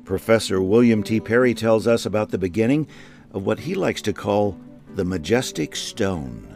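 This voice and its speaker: Low male travel show narrator, scripted read, guitar playing behind him. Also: expressive, moderate pace, creaky, a little mellow. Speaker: host and narrator.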